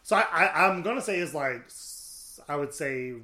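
Bright, playful man. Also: fairly high pitch, animated, moderate pace. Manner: laughs easily, playful and candid. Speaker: podcast co-host. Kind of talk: casual chat.

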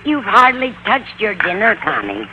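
A woman's voice: medium pitch, sing-song, normal speed, over music, natural voice. An actress playing a motherly, fickle older landlady with a soothing, folksy manner in vintage radio comedy style. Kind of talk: 1940s-50s radio comedy acting. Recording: dull, noisy old radio recording.

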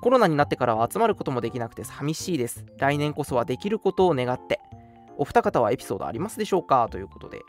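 A man's voice: medium pitch, sing-song, rapid-fire. A young man hosting a radio show, chatting casually with a friend in an agreeable way. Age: young adult. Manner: agreeable, often repeats affirmations. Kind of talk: casual chat between friends. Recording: music playing behind the voice. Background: background music.

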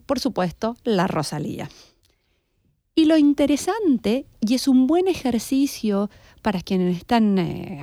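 An Argentine woman speaks in a soft-edged, mellow voice at a middling pitch. She comments in a reflective, explanatory way, like a lecturer hosting a talk podcast. The sound is dry, with no room around it. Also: no room sound. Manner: explanatory, addresses listeners directly. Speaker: podcast host and university lecturer.

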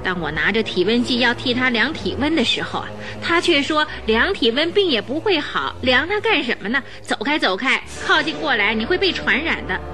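High-voiced, flustered housekeeper. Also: female voice, sing-song, brisk, few pauses, music in the background. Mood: fretful. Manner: fretful, sighing, exclaiming. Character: anxious, flustered, talkative housekeeper woman. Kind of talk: radio drama acting.

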